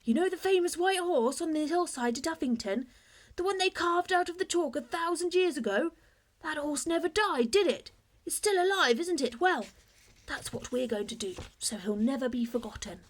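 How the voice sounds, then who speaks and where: A woman voicing an excited, fervent old war veteran husband in a children's storybook, with urgent exclamations and eager questions. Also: high-pitched, moderate pace, pitched above the performer's own voice. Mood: excited, triumphant.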